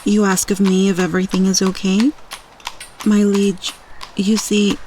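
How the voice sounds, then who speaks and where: A woman playing a loyal, solemn royal handmaiden in a fantasy audio roleplay, speaking gravely in formal, archaic phrasing. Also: mid-range voice, flat delivery, measured pace, noisy background, natural voice. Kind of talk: fantasy ASMR roleplay acting.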